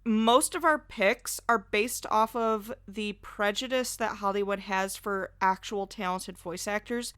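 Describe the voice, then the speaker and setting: A woman's voice: medium pitch, a bit bright, no echo. A woman as a podcast guest, chatting casually in an agreeable, emphatic manner. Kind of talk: casual chat.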